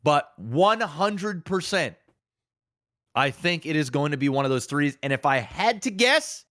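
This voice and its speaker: A man's voice: on the high side, sing-song, measured pace, a bit bright, dry recording, clean studio sound. A man hosting a casual podcast, talking in an opinionated, emphatic way with plenty of slang and swearing. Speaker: podcast host.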